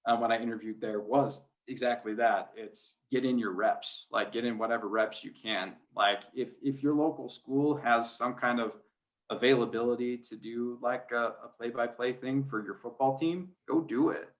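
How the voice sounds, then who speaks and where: A man's voice: medium pitch, normal speed. Conversational man, casual interview talk. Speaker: high school sports radio play-by-play announcer.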